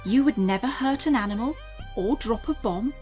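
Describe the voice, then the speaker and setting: A woman playing an earnest, secretive alien mother, speaking gravely and deliberately in an audio drama. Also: a little high, darkish tone, soft background music, lightly acted.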